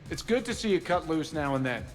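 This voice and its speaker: A man's voice: on the high side, lively intonation, fairly quick, soft background music, natural voice. An actor playing a friendly, good-humored male crewmate in a video game.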